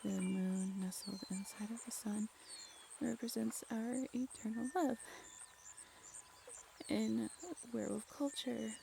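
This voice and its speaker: Woman acting as tender grateful sweetheart, unhurried, slightly creaky voice, intimate roleplay audio, faint ambient noise. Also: medium pitch. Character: tender, grateful birthday celebrant, affectionate partner of a werewolf. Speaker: voice actor.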